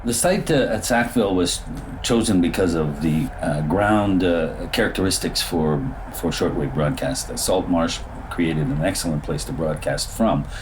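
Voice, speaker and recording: A man's voice: sits low, darkish tone, slight background noise, poor audio. A man explaining broadcast operations in an interview, speaking as a station staffer. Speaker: former shortwave station staffer.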